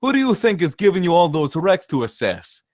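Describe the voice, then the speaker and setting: A man's voice: high-pitched, very animated, fairly quick, a little mellow, no room sound. A man at a screenplay table read playing a brash, cynical saboteur who talks in emphatic, profane slang. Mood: coolly persuasive. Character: cynical, brash zealot saboteur posing as safety inspector.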